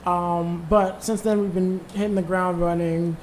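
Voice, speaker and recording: A man's voice: high-pitched, little pitch movement, a little slow, slight background noise. A man in his twenties, a band leader, telling stories in a rambling, easygoing way with many fillers. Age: 20s. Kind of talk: casual interview chat.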